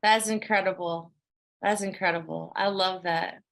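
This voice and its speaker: A woman's voice: lowish voice, steady tone, moderate pace, gravelly, fairly bright tone. A woman moderating a panel conversation, speaking enthusiastically with frequent ums and hesitations.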